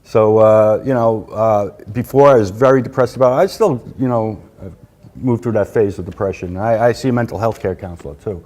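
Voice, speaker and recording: Middle-aged New York man, warm low voice, chatty guest, lapel mic. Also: fairly quick. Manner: chatty, laughs easily, many fillers. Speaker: patient advocate guest. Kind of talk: casual interview. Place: conference room, indoors.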